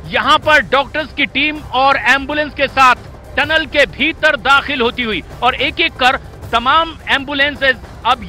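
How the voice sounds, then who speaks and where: A man with a very high-pitched, piercingly bright voice delivers live breaking-news commentary. He is urgent and emphatic, hammering key phrases by repetition, with faint music underneath. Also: low-quality recording. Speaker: news anchor.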